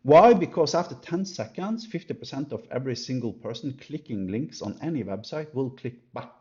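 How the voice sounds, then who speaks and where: A man presenting an unscripted software demo in an emphatic, halting way, thinking aloud as he goes. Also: mid-range voice, animated, highs cut off. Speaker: company presenter comparing products. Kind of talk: unscripted screen-recorded product demo commentary.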